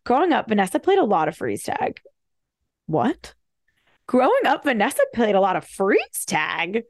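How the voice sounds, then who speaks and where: Creaky-voiced woman podcaster, chatty. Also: medium pitch, no echo, clean studio sound. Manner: fast-talking, chatty, wry, animated asides. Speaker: podcast host. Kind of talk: casual solo commentary, reading bios with asides.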